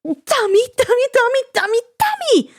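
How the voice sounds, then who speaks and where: A woman's voice: big pitch swings, normal speed, full character voice. A woman voicing a small, fierce, emotional mother who scolds emphatically in a story reading. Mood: angry, hurt. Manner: high, shrill, emphatic.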